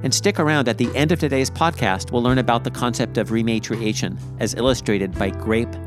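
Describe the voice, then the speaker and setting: Male podcast host, measured and articulate, formal phrasing, clear guitar music underneath. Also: mid-range voice. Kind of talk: scripted introduction and interview.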